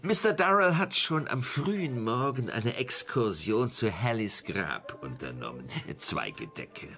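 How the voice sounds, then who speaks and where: Bright, swooping old gentleman schemer. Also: mid-range voice, sing-song, measured pace, faint music behind, full character voice. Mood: brisk. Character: elderly male English gentleman schemer, whisky-loving, smug, brisk. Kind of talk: German crime radio play acting.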